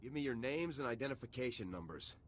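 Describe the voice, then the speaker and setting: A man's voice: medium pitch, lively intonation, fast, slight background noise, lightly acted. A man playing a resolute, principled lieutenant in a video game, speaking firmly and earnestly. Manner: firm, earnest, controlled. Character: principled male soldier, a resolute young lieutenant. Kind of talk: scripted video game acting.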